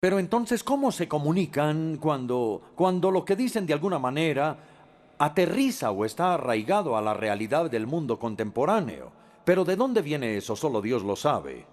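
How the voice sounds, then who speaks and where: Guy dubbing a lecture, kinda high voice, goes up and down a lot, says eh now and then. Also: sing-song, darkish tone. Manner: measured and explanatory, with hesitant fillers. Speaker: Spanish voice-over of a lecturer. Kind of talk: dubbed lecture.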